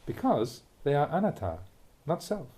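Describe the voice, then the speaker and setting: Mellow, expressive man reading a dharma talk. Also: a little high. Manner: measured, deliberate phrasing in short clauses. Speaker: monk reading a Buddhist teaching aloud. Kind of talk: read-aloud dharma talk, audiobook narration.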